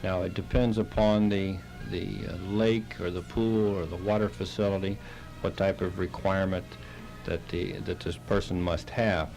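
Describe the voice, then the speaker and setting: A man, a halting and plain-spoken interview guest, explaining things on the radio. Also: deep voice, steady tone, a little mellow, soft background music, low-quality recording. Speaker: interview guest, Red Cross chapter director.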